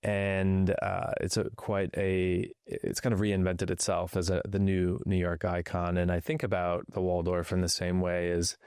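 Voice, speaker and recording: Male host, low voice, rambling stacked questions, dry close recording. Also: a little mellow, smooth, no room sound. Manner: loose, searching phrasing, stacks several questions. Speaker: podcast host. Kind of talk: interview questions.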